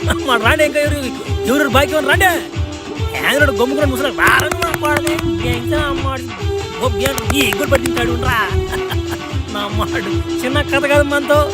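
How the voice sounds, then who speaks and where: A man as a very high-pitched cocky cartoon superhero. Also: a little fast. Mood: cocky, taunting. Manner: boastful, taunting, slangy. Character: brash, cocky, foul-mouthed male superhero in a parody. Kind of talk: crude comic cartoon dubbing. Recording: music behind the voice.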